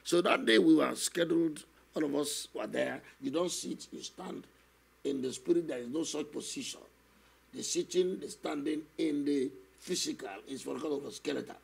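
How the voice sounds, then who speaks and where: Older man, gravelly husky voice, slightly high, slow earnest testimony, studio stand mic. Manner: slow, earnest storytelling with many "you know" asides. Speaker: guest minister. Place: television studio, seated on a set. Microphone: stand microphone in front of him.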